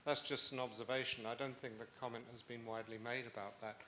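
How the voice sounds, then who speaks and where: Older man, audience member, bright voice, roomy hall sound, faint ambience, measured. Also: medium pitch, little pitch movement, a little hiss or hum. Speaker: audience member, emeritus professor. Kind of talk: audience question at a conference.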